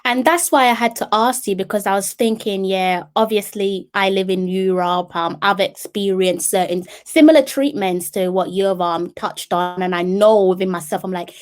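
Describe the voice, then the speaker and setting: A woman hosts an interview in a relaxed, conversational tone, her voice sitting in a middle range. She frames her questions thoughtfully and drops in a few casual fillers. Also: medium pitch, normal speed, hardly any pauses. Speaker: interviewer, host.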